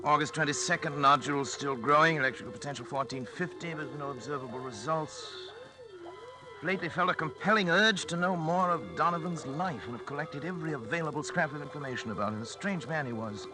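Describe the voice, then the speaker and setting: An actor playing an intense, arrogant, obsessive scientist in a theatrical 1940s radio acting style. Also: male voice, on the high side, brisk, lightly acted, poor audio. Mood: brooding, obsessed. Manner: theatrical, urgent, clipped dramatic delivery. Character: obsessive scientist man, feverish, intense, arrogant, narrating his journal. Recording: old radio recording with music behind.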